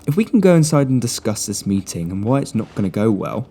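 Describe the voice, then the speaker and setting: A man voicing a clever young male detective who lays out deductions coolly and deliberately. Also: lowish voice, sing-song, mellow. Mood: smug. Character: clever young male sleuth, calm and smug.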